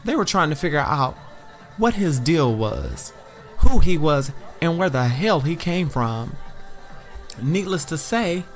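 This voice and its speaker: A man's voice: a little high, sing-song, takes it slowly, soft background music. A man narrating a dramatized first-person story in a sassy, conversational way. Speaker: podcast storyteller voicing all the characters.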